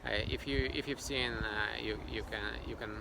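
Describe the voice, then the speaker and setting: A man's voice: medium pitch, steady tone, moderate pace, gravelly. A man hosts a walking tour outdoors, speaking hesitantly with many fillers and restarts. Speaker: vlog host. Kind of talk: casual walking tour narration. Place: outdoors on a city street. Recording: loud street traffic noise.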